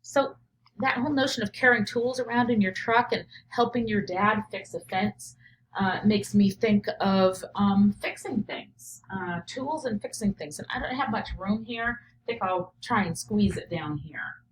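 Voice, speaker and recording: A woman teacher reading a picture book aloud and pausing to reflect on it out loud, often checking in with "right?". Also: mid-range voice, a little hiss or hum, rough recording. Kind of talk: read-aloud of a picture book with think-aloud commentary.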